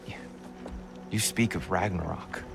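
An actor playing a broken, gentle former war god in a video game, timid and pleading. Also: male voice, low-pitched, unhurried, creaky. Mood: hesitant, wary. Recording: game cutscene audio with music behind.

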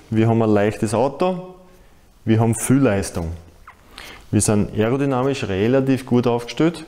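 Casting: Austrian man, forties, motorsport presenter, dark relaxed voice, informal explanatory delivery. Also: medium pitch.

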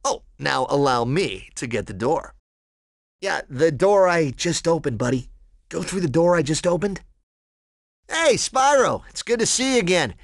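A man's voice: fairly high pitch, sing-song, unhurried, dry recording, studio quality. A man voicing a cocky, laid-back young cheetah sidekick in a video game, breezy, slangy and friendly. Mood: upbeat, cocky. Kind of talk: animated video game voice acting.

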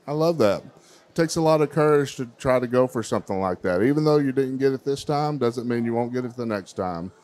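A man's voice: medium pitch, lively intonation, a little fast, a little mellow. A middle-aged man, hosting a kids' baking contest on a handheld mic in a large fair hall, chatty and encouraging. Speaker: contest host. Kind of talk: emcee interviewing child contestants.

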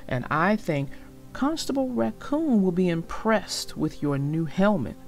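A woman's voice: fairly low pitch, very animated, measured pace, faint music behind. A woman voicing a reassuring, encouraging father turtle in a children's storybook.

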